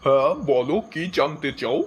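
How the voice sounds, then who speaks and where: A man's voice: medium pitch, fairly even intonation, normal speed, breathy edge, muffled recording, lightly acted. A man voicing a hapless, chatty husband and joking buddy in comic cartoon skits. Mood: weary, grumbling. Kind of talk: comic cartoon dubbing in short joke skits.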